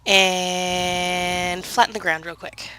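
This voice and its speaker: A woman's voice: lowish voice, little pitch movement, slow, sharp tone, smooth, low-quality recording. Congested, chatty woman narrating gameplay. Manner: chatty, rambling, full of fillers and self-corrections. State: congested from a cold. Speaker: gaming video creator. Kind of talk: casual gameplay commentary.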